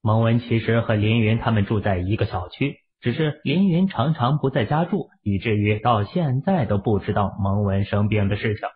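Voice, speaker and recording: A man's voice: on the low side, normal speed, hardly any pauses, narrow, tinny audio. A man reading a story as a scripted, dramatic audiobook narration.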